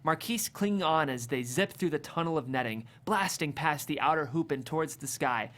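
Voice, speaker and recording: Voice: medium pitch, expressive, moderate pace, a bit bright. A narrator reading comedic screenplay stage directions aloud at a table read in a vivid, amused way.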